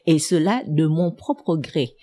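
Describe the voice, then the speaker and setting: Older vietnamese-native lady, historian, thoughtful, lots of ums, mellow dark voice. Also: low voice, lively intonation, slow pace. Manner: reflective, many hesitant fillers. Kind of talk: personal memoir storytelling.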